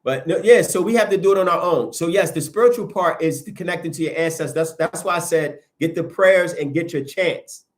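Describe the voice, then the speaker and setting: A man hosting a livestream, preaching in a casual, animated way and answering viewer comments as he goes, with a sniffly nose. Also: on the high side, a little fast, hardly any pauses. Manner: animated, preachy, rises sharply when emphatic. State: sniffling from sinuses. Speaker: livestream host.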